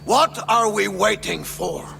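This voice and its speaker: A haughty Spartan councillor from a video game. The voice is high and creaky with imperious delivery, ringing with long reverb. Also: male voice, takes it slowly, sharp tone, exaggerated voice. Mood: haughty, impatient. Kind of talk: video game cutscene acting.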